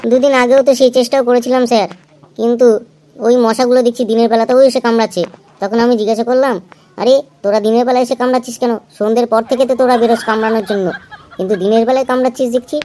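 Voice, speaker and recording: A woman's voice: a little high, steady tone, fast talker, warm tone, close to an everyday voice. A woman voicing a cheeky, excuse-making schoolboy in an amateur cartoon dub, long-winded and pleading.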